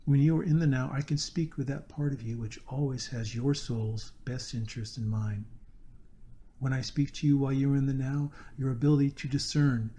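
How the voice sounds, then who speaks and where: Mellow-voiced man leading a guided meditation. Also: mid-range voice, steady tone, a little fast. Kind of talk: guided meditation, scripted narration.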